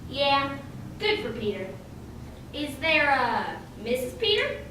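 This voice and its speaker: A woman's voice: high-pitched, big pitch swings, slow pace, full character voice. A woman playing a brash, gushing young party guest in a radio play, recorded in an echoey room. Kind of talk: amateur radio play acting. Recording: very echoey room, distant mic, noisy.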